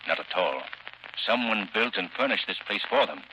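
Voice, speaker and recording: Intense crime-fighting gentleman hero, low voice, very forceful, hissy old radio drama. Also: lively intonation, normal speed, lo-fi sound. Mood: intrigued. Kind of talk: 1930s radio acting. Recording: hissy 1930s radio recording.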